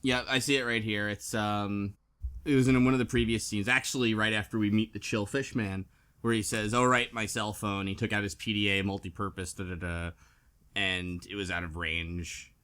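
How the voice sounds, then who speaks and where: A man's voice: on the low side, fairly even intonation, normal speed, sharp tone, clean tone. A man chatting casually as a podcast panelist, recapping and joking in an easygoing way, sometimes trailing off. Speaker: book club podcast panelist.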